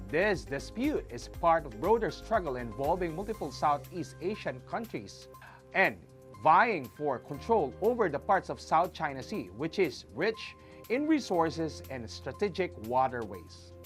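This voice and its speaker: A newsreader giving a formal, measured scripted news report. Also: mid-range voice, sing-song, faint music behind.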